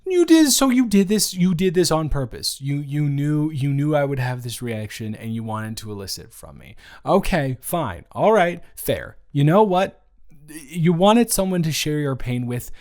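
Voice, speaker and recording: A man playing a smitten, playfully dramatic boyfriend in an improvised romantic audio roleplay, teasing and repeating his words haltingly. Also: mid-range voice.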